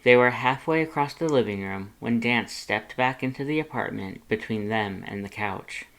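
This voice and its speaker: A narrator reading fan fiction aloud in an expressive, scripted storytelling style. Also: mid-range voice, moderate pace. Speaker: fanfiction audiobook narrator and channel host.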